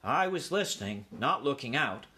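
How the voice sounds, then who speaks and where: A man's voice: mid-range voice, sing-song, moderate pace, pushed and bright. A man voicing a cautious, self-important uncle mouse in a storybook, stern and grumbling. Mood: scornful, grim.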